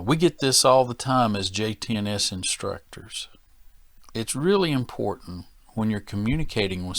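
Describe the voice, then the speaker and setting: Low-voiced man giving safety training, dry sound. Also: a bit bright, no echo. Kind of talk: instructional presentation to workers.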